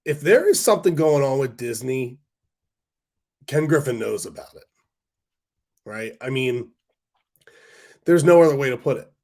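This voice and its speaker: A man hosting a casual stock-market livestream, hoarse from a cold, rambling with fillers and restarts while answering viewer comments. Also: medium pitch, expressive, a little slow, long pauses, a little mellow. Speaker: stock stream host.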